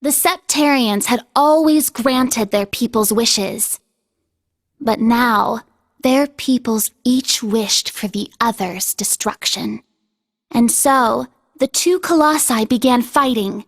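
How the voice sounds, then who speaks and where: Female game narrator, higher voice, very slow and grave, telling old myths with solemn weight. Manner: solemn, measured storytelling. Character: solemn woman recounting an ancient legend. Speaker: storyteller in a video game cutscene. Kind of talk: scripted narration of a legend.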